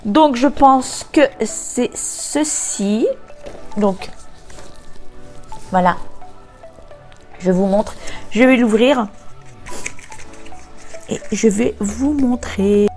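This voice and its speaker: Slow, stuffy-nosed woman vlogger. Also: on the high side, warm tone, soft background music. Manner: hesitant, frequent filler sounds. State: congested, nasal from a cold. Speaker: beauty vlogger. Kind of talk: casual unboxing chat.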